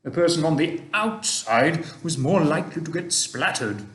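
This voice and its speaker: A man's voice: fairly high pitch, animated, moderate pace, reverberant, pitched above the performer's own voice, lightly acted. A man voicing a kindly, genteel old male tramp, polite and old-fashioned. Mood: fussy, genteel.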